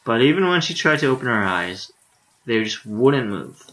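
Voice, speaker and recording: A man's voice: mid-range voice, very animated, normal speed, fairly bright tone, rough recording. A tired male streamer reading a visual novel aloud in a laid-back way, with casual asides. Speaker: live streamer.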